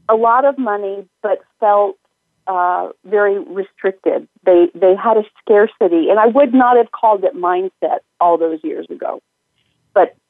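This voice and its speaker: A woman tells her life story as an interview guest, measured, with small pauses and fillers. She comes through a tinny phone line with no room sound. Her pitch sits at an everyday middle. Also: dry recording. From United States and northern Italy. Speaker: minister and money coach guest.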